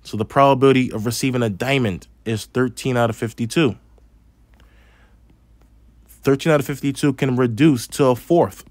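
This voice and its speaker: A man's voice: medium pitch, normal speed, long pauses, no echo. A man with a slight lisp teaching a math lesson over a tablet screen, explaining patiently step by step. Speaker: math instructor.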